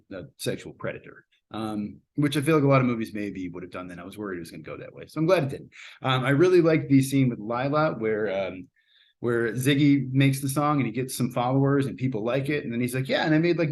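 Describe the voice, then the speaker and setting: A man with an average-pitched voice gives an informal, unscripted film review as host. He talks conversationally and haltingly, searching for phrasing as he goes. His thoughts often drift off into small asides. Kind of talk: casual unscripted movie review.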